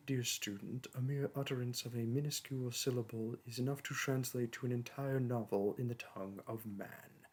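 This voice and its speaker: A man's voice: mid-range voice, husky. A man playing a condescending young male dragon teacher in a roleplay audio, speaking in archaic, formal and disdainful phrases. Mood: scornful, superior. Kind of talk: roleplay audio monologue to a listener.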